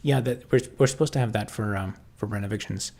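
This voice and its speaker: A man with a Canadian accent chatting casually on a livestream, answering viewers and thinking aloud in halting, restarting sentences. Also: on the low side, creaky, darkish tone.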